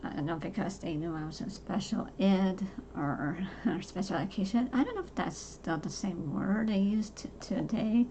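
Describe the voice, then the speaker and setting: A soft-voiced woman in her forties, talking casually and haltingly about her own life, with many fillers and restarts. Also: on the low side, a little hiss or hum. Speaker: podcast host. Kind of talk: casual personal talk to camera.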